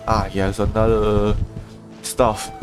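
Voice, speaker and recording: A man livestreams a video game with casual, think-aloud commentary. His voice sits at an ordinary pitch, with game music playing clearly behind him. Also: level tone, slow pace. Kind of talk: casual live gameplay commentary.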